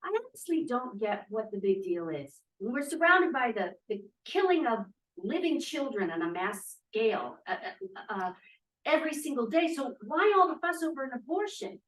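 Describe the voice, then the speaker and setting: A woman's voice: a little high, very animated, moderate pace. A woman playing a defiant, free-spirited drama student of twenty-two in a play table read, arguing emphatically and theatrically. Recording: roomy, echoey, distant microphone.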